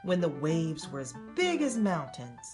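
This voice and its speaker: Older female storyteller, grandmother type, slightly low register, highly varied intonation, simple direct delivery for young children. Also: wildly swooping pitch, a little slow, music in the background. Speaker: grandmother reading aloud to grandchildren. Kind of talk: expressive children's storybook read-aloud.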